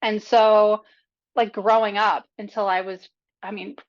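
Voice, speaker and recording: A woman's voice: mid-range voice, flat delivery, a little slow. Chatty young woman, frank and profane. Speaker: podcast guest. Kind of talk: candid casual interview talk. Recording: dull lo-fi audio.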